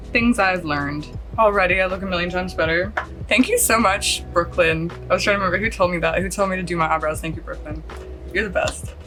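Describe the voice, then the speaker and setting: A woman's voice: medium pitch, a bit bright. A woman in her late thirties from Australia whose mostly Californian accent lets some Australian slip through. She chats her way through a casual makeup tutorial, rambling and self-deprecating, and laughs often. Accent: mostly Californian with some Australian coming through. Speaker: beauty vlogger. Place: at home, lit by a close lamp.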